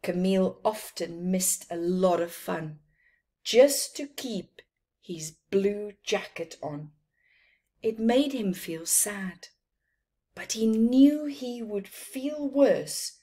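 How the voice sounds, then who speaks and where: Middle-aged female storyteller, very slow pace, mid pitch, expressive picture-book read-aloud for young children. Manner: deliberate, dramatic phrasing with emphasised words. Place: small plain room indoors.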